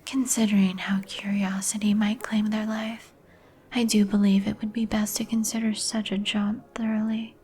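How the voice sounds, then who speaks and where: A woman playing a melancholy, courteous vampire in a breathy whisper, with formal, old-fashioned phrasing for an ASMR roleplay. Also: mid-range voice, level tone, moderate pace, warm tone. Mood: gloomy, cautioning. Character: melancholy, courteous vampire woman, turned young some 150 years ago. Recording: music behind the voice. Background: music.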